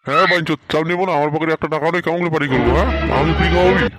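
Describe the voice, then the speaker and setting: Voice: medium pitch, fairly even intonation, racing, over loud music, close to an everyday voice. Broke, foul-mouthed young Bengali cartoon man played by a performer: slangy grumbling at breakneck pace. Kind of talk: comic cartoon dubbing.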